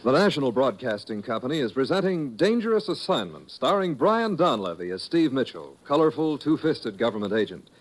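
A man's voice: mid-range voice, very animated, fairly quick, hardly any pauses, a little hiss or hum, poor audio. A male announcer introducing a 1950s radio drama in a dramatic, declamatory style.

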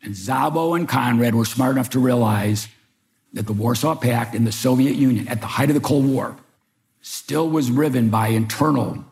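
A man's voice: mid-range voice, creaky, darkish tone. Older man recalling his career at a talk. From Chicago. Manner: wry storytelling with hesitant restarts. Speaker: panel speaker, former FBI agent. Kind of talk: public talk, personal recollection. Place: museum event room.

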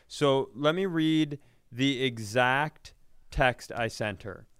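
A man co-hosting a podcast, telling self-deprecating, profane comic stories in an animated, exasperated way. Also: medium pitch, takes it slowly, smooth, no echo, clean studio sound. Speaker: podcast co-host. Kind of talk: comedic storytelling in casual podcast chat.

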